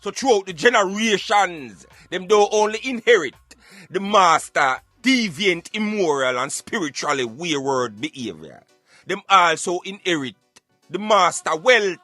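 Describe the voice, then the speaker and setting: A man's voice: sits high, lively intonation, a little slow, bright, forceful tone, no room sound, soft background music. A man with a Jamaican accent hosting a talk show in Patois, giving emphatic, repetitive commentary peppered with catchphrases. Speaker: radio show host.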